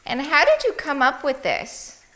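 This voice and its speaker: A woman's voice: high voice, huge pitch swings, measured pace, fairly bright tone, slight background noise. Upbeat woman hosting a cooking segment. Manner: upbeat, laughs often. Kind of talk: casual cooking-show chat.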